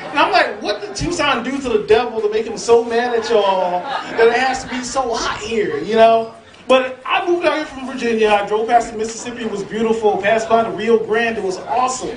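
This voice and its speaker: A man's voice: very high-pitched, brisk. A man doing a brash, profane stand-up set on a club stage, teasing the crowd. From southern United States. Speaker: stand-up comedian. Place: comedy club stage before a live crowd. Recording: roomy live club audio from a distance with crowd.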